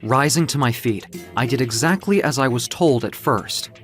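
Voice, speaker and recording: A narrator telling a dramatic story in a measured, literary, theatrical way, as in an audio comic book. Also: mid-range voice, animated, faint music behind.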